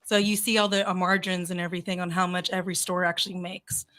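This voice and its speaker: Flat-voiced chatty woman guest. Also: fairly low pitch, fast, dry recording. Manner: chatty, laughs often, many fillers. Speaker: guest, cannabis cosmetics business. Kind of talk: casual interview chat.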